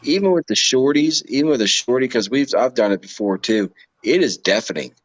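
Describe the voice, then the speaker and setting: A man's voice: mid-range voice, expressive, fairly quick. A man chatting casually and agreeably on a livestream, in an informal way. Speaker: livestream co-host or guest. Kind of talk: casual chat. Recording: dull lo-fi call audio.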